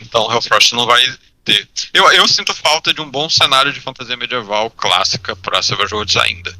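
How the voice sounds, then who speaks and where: Very bright-voiced man from Brazil. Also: medium pitch, a little fast, no room sound, poor audio. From Belém, Pará, Brazil. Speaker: podcast co-host. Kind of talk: casual live chat, reading questions.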